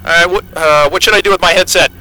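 A man's voice: sits high, fast, pushed and bright, poor audio. A man acting in an audio drama as a defiant, foul-mouthed astrophysicist with a brash, skeptical manner. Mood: uneasy, resolved.

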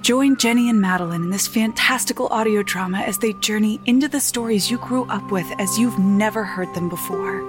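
A woman's voice: medium pitch, fairly quick, few pauses. A woman narrating a scripted trailer for a storybook fantasy audio drama. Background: faint music.

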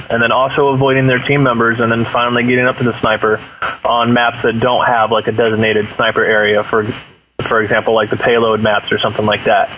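A man's voice: mid-range voice, fairly bright tone, slight background noise, rough recording. A man talking casually as a guest on a gaming podcast.

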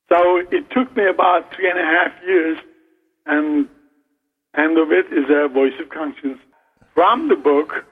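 Male author, husky, slow and halting, thin phone sound with a long echo. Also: fairly high pitch. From Turkey. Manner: slow, halting, restarts phrases. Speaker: novelist guest. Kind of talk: radio interview. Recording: phone line, echoey hall.

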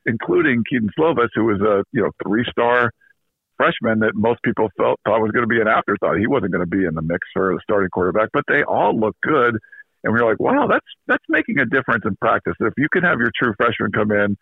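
A man giving a casual interview as a sports reporter, talking fast and repeating words as he thinks. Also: on the low side, expressive, gravelly, slightly rough, no echo. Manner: quick, casual, repeats words and laughs lightly. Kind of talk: phone interview. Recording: tinny phone line.

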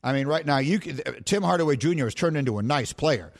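Animated middle-aged sports talk host man. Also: a little high, brisk, gravelly. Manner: animated storytelling, laughs at himself.

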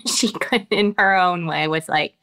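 An American woman, an actress with children of her own, giving reflective interview answers with many pauses and restarts. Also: medium pitch, wildly swooping pitch, pushed and bright. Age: adult with children. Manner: thoughtful, halting, many restarts and fillers.

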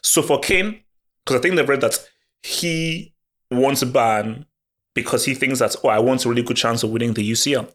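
A man talks football on a casual podcast, excited and animated, with frequent repeated words and quick restarts as his thoughts pour out. His voice sits at an ordinary pitch for a man. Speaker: podcast co-host.

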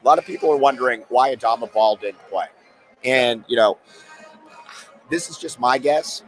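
A man hosting a casual sports talk show, his voice worn hoarse from days of yelling. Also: mid-range voice, normal speed, faint music behind, low-quality recording. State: losing his voice.

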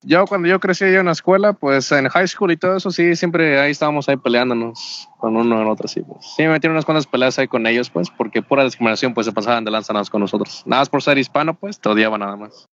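A young man talks at a quick clip in a relaxed back-and-forth. He sometimes searches for a word and drops in English terms. The podcast audio sounds dull and slightly lo-fi. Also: medium pitch. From Mexico. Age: young adult. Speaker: interview guest. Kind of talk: casual conversation.

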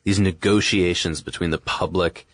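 A man's voice: low voice, steady tone, moderate pace, fairly bright tone. A man chatting and explaining in a casual, discursive way as a podcast co-host. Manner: conversational, discursive.

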